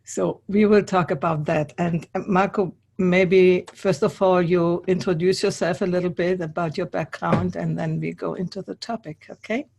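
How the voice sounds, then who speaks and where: A woman's voice: on the low side, fairly even intonation, normal speed, vocal fry, few pauses, a little mellow, a little hiss or hum. A woman hosting an interview on a video call, speaking English as a second language and sometimes searching for words. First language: not English. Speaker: host.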